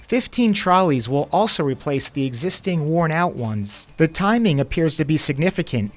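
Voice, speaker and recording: A man presenting the news from a script in a measured, formal newsreader delivery. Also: on the high side.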